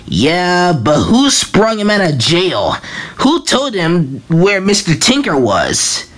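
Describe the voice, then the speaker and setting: A woman reading a fan comic dub aloud, voicing every part herself, in a slow, halting way with restarts. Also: on the low side, sing-song.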